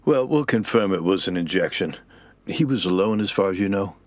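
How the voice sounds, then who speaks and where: A courteous police officer in an audio drama, with a very deep voice. He speaks in a hesitant, by-the-book way in a dry recording. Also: expressive, a little fast, dry recording. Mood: polite, official. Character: polite, procedural male police officer. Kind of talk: naturalistic audio drama acting.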